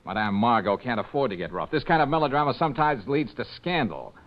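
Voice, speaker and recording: Wisecracking, animated American private eye. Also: male voice, mid-range voice, fast. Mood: cocky, mocking. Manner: breezy, sardonic, slangy. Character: wisecracking, sceptical American male private investigator. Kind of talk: old-time radio drama acting. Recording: slightly dull old radio recording.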